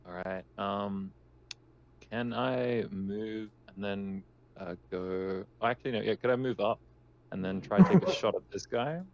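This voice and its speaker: A man's voice: on the low side, measured pace, creaky, muffled recording. A man playing a tabletop roleplaying game, talking casually and tentatively as he asks questions. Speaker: player in a tabletop RPG.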